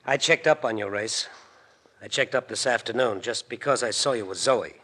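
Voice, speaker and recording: A man's voice: medium pitch, normal speed, a bit bright, natural voice. Hard-edged American gangster boss played by a man. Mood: businesslike, pressing. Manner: clipped, commanding, slangy. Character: tough, menacing gangster boss, bossy, bitter jilted husband. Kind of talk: 1940s radio crime drama acting.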